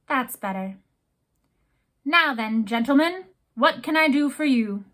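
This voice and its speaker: A woman's voice: high voice, expressive, slow pace, fairly bright tone, heavily acted. A woman doing a bellowing old sea captain. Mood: calmed. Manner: roaring, bellowing. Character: gruff blustering old male ship captain.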